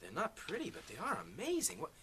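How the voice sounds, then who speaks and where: An actor playing an eager, naive young waiter, a panicky lad in a British radio drama. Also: male voice, sits high, wildly swooping pitch, fast, sharp tone, exaggerated voice. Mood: amazed. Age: young adult.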